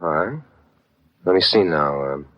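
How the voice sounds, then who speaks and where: A man's voice: low voice, unhurried, no room sound. A man playing a wry, businesslike hard-boiled detective in an old-time radio drama, at times narrating his own case. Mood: sheepish, halting. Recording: dull vintage radio recording.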